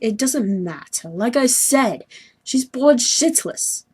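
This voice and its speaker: A woman's voice: fairly high pitch, expressive, slow, slightly rough, full character voice. A woman voicing a haughty young male sea troll who schemes and sulks, pompous and petulant, in amateur webcomic acting. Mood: dejected. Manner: pompous, petulant, self-pitying.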